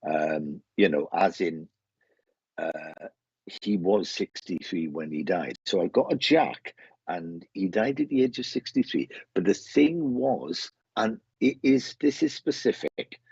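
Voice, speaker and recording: Husky Welsh man, low-pitched and chatty. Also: lively intonation, unhurried, a little mellow. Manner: chatty, rambling, repeats phrases. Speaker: psychic medium hosting a live online show. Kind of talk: casual live chat, greeting viewers. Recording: muffled roomy online stream audio.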